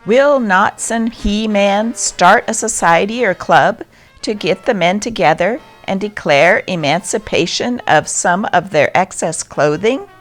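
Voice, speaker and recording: A woman narrator giving a scripted reading of old newspaper stories. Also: fairly low pitch.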